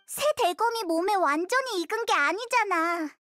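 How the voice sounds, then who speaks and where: A woman's voice: extremely high pitch, lively intonation, moderate pace, sharp tone, full character voice. A woman voicing a small childlike fairy companion in a video game, earnest, inquisitive and blunt. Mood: relieved.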